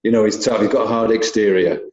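A man's voice: fairly low pitch, fairly even intonation, fast talker, a little mellow, reverberant, highs cut off. A British man talking squash in a casual chat, rambling with many fillers and restarts. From Britain.

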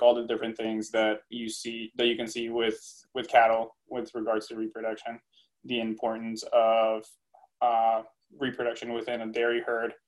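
A young man from Colombia, a native Spanish speaker, talking casually and haltingly as a student guest on a panel. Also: mid-range voice, low-quality recording. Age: young adult. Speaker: veterinary student, podcast guest.

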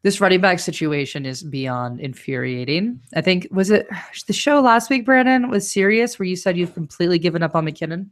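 A woman's voice: on the low side, expressive, brisk, hardly any pauses. A woman hosting a fantasy football podcast in casual chat, giving confident, firm advice.

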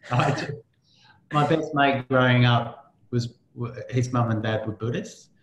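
A man's voice: medium pitch, slow, fairly bright tone, a little hiss or hum. Echoey-sounding casual male podcast host. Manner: storytelling, swears freely, laughs while talking. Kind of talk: casual interview chat.

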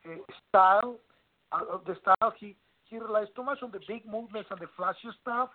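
A man's voice: high voice, fairly quick. A man making fight picks in casual chat, emphatic and blunt, swearing casually. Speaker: panelist. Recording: tinny phone-line audio.

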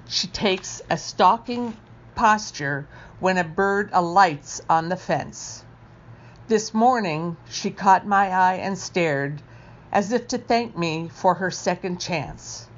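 A woman reading her own poems aloud in a deliberate, careful manner. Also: lowish voice, lo-fi sound. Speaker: poet reading own work. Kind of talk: poetry recital.

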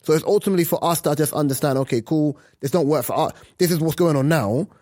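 Husky man, emphatic podcast talk. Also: fairly high pitch, fast, no echo. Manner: animated, emphatic, jokey. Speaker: podcast co-host. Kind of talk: casual podcast chat.

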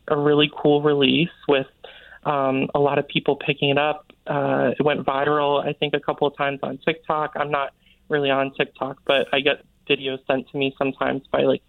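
A man's voice: mid-range voice, vocal fry, no room sound. An American man from Connecticut, a guest author talking casually and informally in an interview, with lots of filler "like". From Connecticut, United States. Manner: informal, peppers speech with "like". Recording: narrow, tinny phone-like line.

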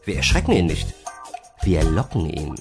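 A male actor playing an eager, excitable, conspiratorial heavenly assistant in a radio comedy. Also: sits low, moderate pace, over music.

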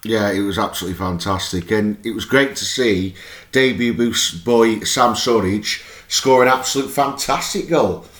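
Man, hoarse and low, keen podcast host; roomy sound. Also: moderate pace, fairly bright tone. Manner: chatty and eager, with restarts. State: hoarse, losing his voice. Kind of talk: casual fan podcast chat.

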